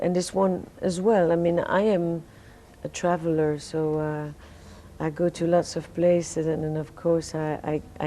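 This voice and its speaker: Belgian French woman, low and mellow, sleepy and halting, faint hiss under the recording. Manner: relaxed, halting, many restarts and fillers. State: sleepy, fighting off jet lag. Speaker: singer, interview guest. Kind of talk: casual interview answers.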